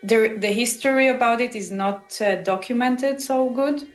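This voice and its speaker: A woman's voice: on the high side, moderate pace. Israeli-born woman, English, thinking aloud with many restarts; echoey room, faint music beneath. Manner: thoughtful, hesitant, with many restarts. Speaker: musician guest. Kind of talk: interview answers.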